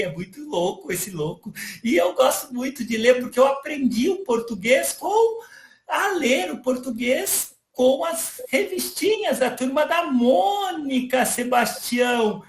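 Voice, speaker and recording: A man voicing a friendly virtual young male AI character, explaining calmly to an audience. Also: extremely high pitch, creaky, roomy sound, exaggerated voice. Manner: calm explanatory, addresses audience as "pessoal". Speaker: interviewee.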